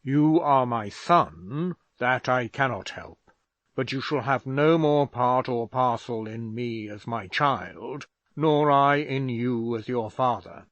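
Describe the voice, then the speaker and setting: Man doing a pompous old father, loud and slow, indignant, dry close sound. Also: mid-range voice, no room sound, dull audio, lightly acted. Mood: furious. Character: stern elderly father, a pompous angry doctor.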